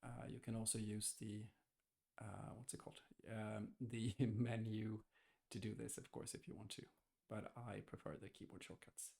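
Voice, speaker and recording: A man thinking aloud hesitantly as he gives a casual software testing walkthrough. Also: lowish voice, level tone, normal speed, creaky. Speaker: software tester demonstrating. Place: indoors, screen-recorded voice-over.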